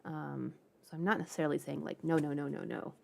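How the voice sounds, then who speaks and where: A woman's voice: sits low, little pitch movement, quick pace, creaky, a little mellow, a little hiss or hum. A woman hosting a livestream, chatting casually and playfully with informal asides. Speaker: livestream host.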